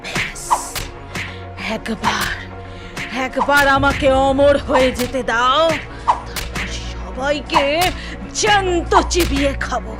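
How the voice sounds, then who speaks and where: A cartoon vulture witch, played by a woman, obsessed with living forever. The voice is high and drawn out, full of menace and spite. Loud music plays underneath. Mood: defiant. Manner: slow, menacing, gloating. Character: evil female vulture witch obsessed with immortality, menacing, vengeful.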